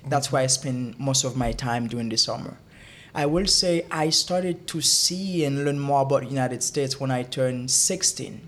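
A young man from Haiti, a guest in a casual interview, who talks haltingly and often repeats words while he looks for them. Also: medium pitch.

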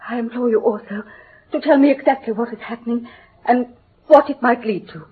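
A woman's voice: a little high, fairly quick, a little hiss or hum, natural voice. An actress playing an anxious, proud upper-class English lady in radio drama, formal and imploring while holding back emotion. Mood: anxious, pleading. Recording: muffled old radio broadcast.

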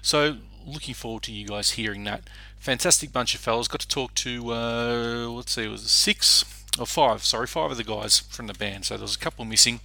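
A man's voice: mid-range voice, moderate pace, creaky, few pauses, pushed and bright. A man hosting a music radio show, introducing songs in a chatty way with frequent fillers and restarts. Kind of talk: casual music show presenting.

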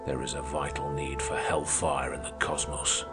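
A man's voice: very deep voice, level tone, normal speed, pitched below the performer's own voice. A man voicing a fiery, defiant socialist orator who denounces his opponents in a thundering, declamatory way. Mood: denouncing. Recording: music playing underneath.

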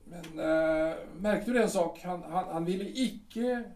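An actor playing a bitter, ailing middle-aged artillery captain who stammers, repeats himself and scoffs in a radio drama. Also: male voice, high-pitched, a little mellow, lightly acted. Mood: brooding. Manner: halting, scornful, stammers and repeats words. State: ill, short of strength. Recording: echoey room.

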